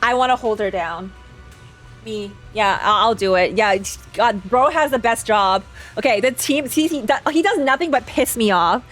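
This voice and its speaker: A woman streamer reacting casually to a game in a fairly high voice. She is playful and sarcastic and likes to repeat phrases for effect. Game music plays underneath. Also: moderate pace, a bit bright. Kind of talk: casual gaming reaction commentary.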